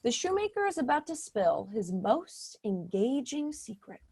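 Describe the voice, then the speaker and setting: A woman's voice: on the high side, very animated, a little slow, warm tone, husky. A woman narrating a stage fairy tale in a wry storytelling way.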